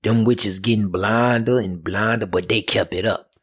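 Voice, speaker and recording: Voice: medium pitch, fairly even intonation, normal speed, slightly rough. A storyteller retelling a folk tale in a folksy, dramatic way, with music behind. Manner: folksy, dramatic, repetitive chanting delivery.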